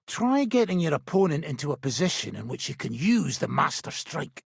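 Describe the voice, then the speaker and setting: An actor playing an impatient, seasoned mercenary swordsman with a rough, gravelly voice, giving blunt, commanding instruction in a medieval video game. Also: sits high, dry recording, clean studio sound, exaggerated voice. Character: gruff, impatient seasoned male mercenary swordsman teaching combat. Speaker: video game character, sword-fighting trainer.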